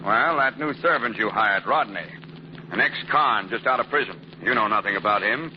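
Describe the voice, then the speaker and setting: An actor playing a gruff but good-natured middle-aged police commissioner in a 1940s radio drama, blustery in manner, on a muffled old broadcast recording. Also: male voice, fairly low pitch, animated, moderate pace, a little hiss or hum, lightly acted.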